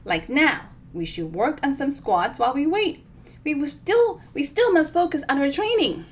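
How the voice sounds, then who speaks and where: A woman's voice: sits high, lively intonation, moderate pace, pitched above the performer's own voice. A woman voicing a cheery, spry elderly lady from a novel, sing-song and sweetly bossy. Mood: cheeky, bossy.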